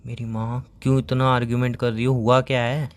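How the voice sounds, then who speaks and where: A man's voice: medium pitch, level tone, brisk. Young adult man, casual phone-call bickering, evasive, teasing, exasperated manner.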